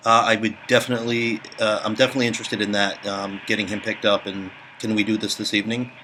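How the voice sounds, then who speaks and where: A man making a prank call, hesitant and deadpan, filling pauses with ums. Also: lowish voice, slight background noise, poor audio. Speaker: prank caller.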